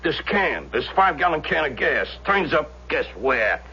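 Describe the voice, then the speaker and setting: An actor playing a chatty, streetwise gas station owner with folksy, colloquial talk in a 1970s radio drama. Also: male voice, fairly high pitch, very animated, normal speed, pushed and bright, full character voice. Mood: chatty, eager. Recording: dull, noisy old radio recording with hum.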